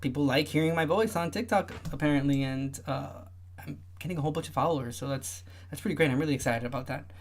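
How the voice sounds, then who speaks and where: High-voiced man hosting a livestream. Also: fast talker. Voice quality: high-pitched voice, often mistaken for a woman. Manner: rambling, many filler sounds and restarts. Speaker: livestream host. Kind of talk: casual livestream chat.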